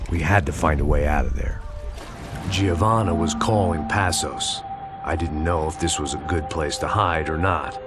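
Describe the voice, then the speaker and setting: An actor playing a world-weary, middle-aged American action hero in a video game, with a wry, fatalistic deadpan delivery. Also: male voice, very deep voice. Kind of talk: noir scripted narration and cinematic game dialogue. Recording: loud music and noisy ambience behind. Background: loud music, ambient noise.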